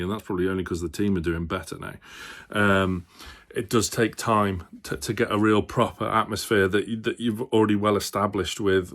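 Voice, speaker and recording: A man presenting on a football fan channel, talking casually to viewers and thinking aloud as he gives his opinion. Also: lowish voice. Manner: hesitant, thinking aloud, hedging.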